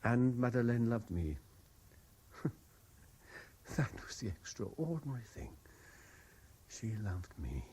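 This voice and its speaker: Intense lovesick Frenchman, mellow, widely swinging pitch, insistent, brooding, 1970s radio drama. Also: big pitch swings, slow pace, long pauses, slight background noise. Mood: pleading, intense. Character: intense, haunted Frenchman obsessed with a lost love.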